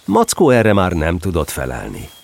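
Deep-voiced, expressive male storyteller. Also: gravelly, slight background noise. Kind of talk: expressive scripted story narration.

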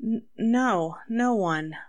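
An actress playing an anxious, evasive wife under suspicion, melodramatic and faltering, in 1940s radio style. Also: female voice, a little high, drawn-out words. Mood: anxious, defensive. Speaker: actor in a radio drama.

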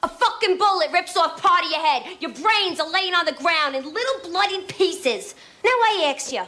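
A woman's voice: very high-pitched, lively intonation, moderate pace, pushed and bright, full character voice. An actress playing a brash young woman in a film scene, spinning a blunt, profane little story with mocking relish. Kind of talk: film dialogue clip.

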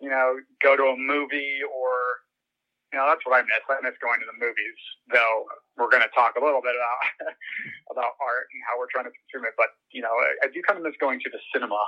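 A man's voice: medium pitch, fairly even intonation, brisk. Man on dull, lo-fi call audio. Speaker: interview guest, journalist and political commentator. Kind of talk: casual conversational interview.

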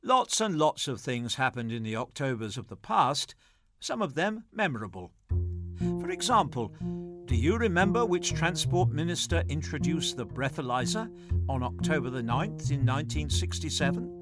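A man's voice: a little high, very animated, normal speed, over loud music. A man presenting a scripted audio magazine, reading trivia and introductions. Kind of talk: scripted quiz and narration.

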